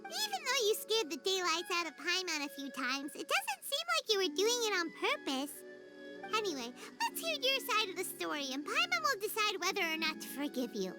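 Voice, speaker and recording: Tiny video game fairy, childlike, squeaky, extremely high, excitable, bossy, wildly animated. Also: female voice, moderate pace, heavily acted. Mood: forgiving, bossy. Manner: refers to herself in third person. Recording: music playing under the voice.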